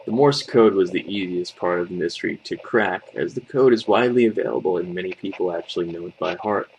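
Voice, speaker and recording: A man narrates a scripted creepypasta in a low-pitched voice. His delivery has a noticeable gravelly fry, and there is faint noise in the recording. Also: fast talker, a little mellow, poor audio. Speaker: horror story narrator.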